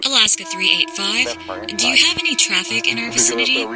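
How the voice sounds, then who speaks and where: A woman as a lively-pitched airline pilot. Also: fairly quick, vocal fry, over loud music. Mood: crisp, professional. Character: professional airline pilot, rattled by a strange object. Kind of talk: audio drama acting.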